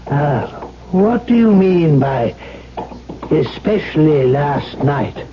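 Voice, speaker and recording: Slow, bitter old alchemist. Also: male voice, a little high, sing-song. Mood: wary, brusque. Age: older. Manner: archaic, halting, suspicious. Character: wary, bitter old reclusive alchemist, grieving father. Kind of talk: 1970s radio drama acting. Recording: dull, noisy 1970s radio recording.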